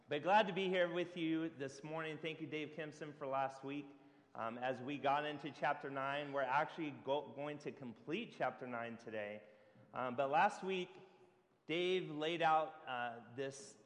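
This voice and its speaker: A man preaching a sermon in a loose, chatty way, with self-mocking jokes and many fillers between passages of scripture he reads aloud. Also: medium pitch. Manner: joking, self-mocking asides. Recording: long reverb of a large hall.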